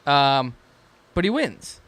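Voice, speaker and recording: A man's voice: medium pitch, sing-song, takes it slowly, fairly bright tone. A young man co-hosting a podcast, chatting casually, swearing a lot and ranting in bursts. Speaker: podcast co-host. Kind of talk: casual chat.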